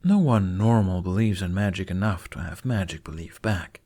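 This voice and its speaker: A man's voice: sits low, moderate pace, warm tone. A man voicing a kind, protective and playful young male adventurer in an audiobook. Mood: gently teasing. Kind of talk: fan fiction audiobook character voice.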